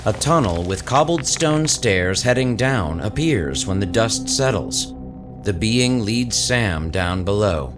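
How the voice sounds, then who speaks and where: A man's voice: fairly low pitch, expressive, slow. A man reading dramatic scripted narration for an audio story, with music behind him.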